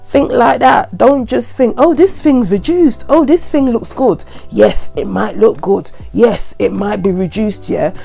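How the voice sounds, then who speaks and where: A woman, croaky from a head cold, giving chatty, repetitive money advice straight to her viewers. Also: fairly high pitch, unhurried, hardly any pauses, dark tone, faint music behind. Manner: chatty, repetitive, earnest warnings. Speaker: vlogger. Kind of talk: casual advice monologue to camera.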